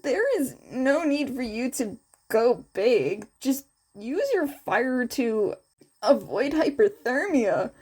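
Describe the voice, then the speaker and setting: Female reader as confident teen boy student, fan fiction audio; practical, explanatory, lively. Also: fairly high pitch, takes it slowly, breathy edge, full character voice. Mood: reassuring. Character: confident, practical teenage boy hero student, advising classmate.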